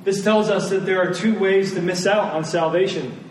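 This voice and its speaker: A roomy, slightly noisy recording of a man delivering a sermon. He is a pastor with a high-set voice, earnest and emphatic, repeating his key phrases for weight. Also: steady tone, normal speed. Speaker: pastor preaching to his church. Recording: roomy, distant mic, faint noise.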